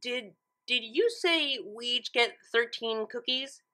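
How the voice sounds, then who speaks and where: A woman's voice: on the high side, slow pace, bright, forceful tone, voice pushed up. A woman voicing an earnest, studious young female cartoon pony who is exacting, questioning and easily flustered. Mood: baffled.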